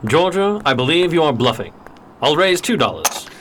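A man playing a courtly, uneasy gentleman gambler in a 1920s period radio drama, speaking formally. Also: on the high side, big pitch swings, moderate pace, slight background noise.

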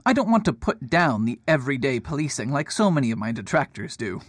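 A man's voice: medium pitch, very animated, quick pace, no room sound, studio quality. A man playing a proud, pompous detective and academic in a radio drama, with precise, wordy delivery. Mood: self-assured.

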